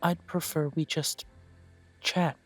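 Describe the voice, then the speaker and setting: A man voicing a courteous elven coffee shop owner who speaks in a polite, formal, gently self-deprecating way, with soft music playing behind him. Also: fairly high pitch, little pitch movement, takes it slowly. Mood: gracious. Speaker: shop owner interviewing a job applicant. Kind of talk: scripted one-sided roleplay audio.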